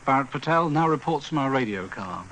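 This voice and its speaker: Male local news reader, brisk, formal, slight tape hiss. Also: mid-range voice, animated, a bit bright. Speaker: local radio newsreader. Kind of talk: formal scripted news bulletin. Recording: archive radio with faint hiss.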